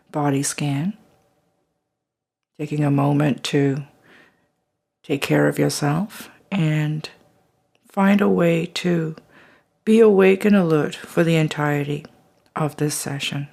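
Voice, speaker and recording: A calm meditation guide talks through a body scan in an unhurried, gentle way. Phrases fade softly, sometimes into a near whisper. The pitch sits at a middling level. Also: gravelly. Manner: slow, soft and calm, trailing into whispers at phrase ends.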